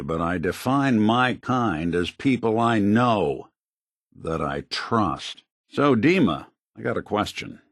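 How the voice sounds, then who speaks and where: World-weary robot detective for a video game, low husky voice, wry and plainspoken, real dry close sound. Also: male voice, no echo, exaggerated voice. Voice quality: gravelly, husky. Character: world-weary, principled male android private detective.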